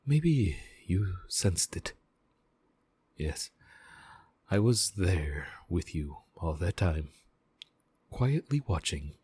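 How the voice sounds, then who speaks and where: A man voicing a gentle, devoted sentient mech AI in a slow, measured audio roleplay, speaking directly to the pilot. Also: deep voice, slightly rough, exaggerated voice. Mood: tender, caring. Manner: measured, deliberate, reassuring, with pauses. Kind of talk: slow scripted audio roleplay, addressing the listener.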